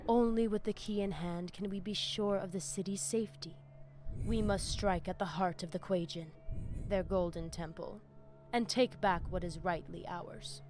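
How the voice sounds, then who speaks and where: A woman's voice: on the low side, steady tone, normal speed, darkish tone, soft background music, close to an everyday voice. Woman as solemn vampire pack leader. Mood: resolute. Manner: grave, formal, stately phrasing. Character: solemn Spanish-speaking female vampire pack leader, fierce and loyal. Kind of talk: dramatic video game acting. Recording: clear background ambience.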